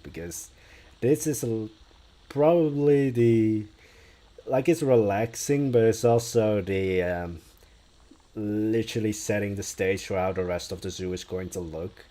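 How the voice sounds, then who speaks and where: A man's voice: lowish voice, warm tone. A young man whose first language is Dutch, chatting casually on a live stream as he builds, musing aloud and answering viewers' questions.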